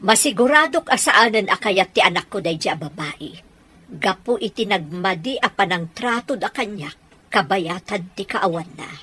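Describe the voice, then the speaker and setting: A woman's voice: mid-range voice, animated, moderate pace, sharp tone, husky. An actress playing a wronged, emotive mother in a radio drama, with an Ilocano accent. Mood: indignant. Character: wronged, indignant mother, kindly to younger woman.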